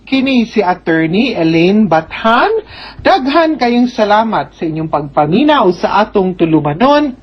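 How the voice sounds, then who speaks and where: Slow-paced Cebuano Filipino woman radio host. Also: medium pitch, big pitch swings, husky, muffled recording. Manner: conversational, encouraging, frequent tag questions. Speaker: radio advice program host. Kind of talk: advice to letter writer, radio sign-off.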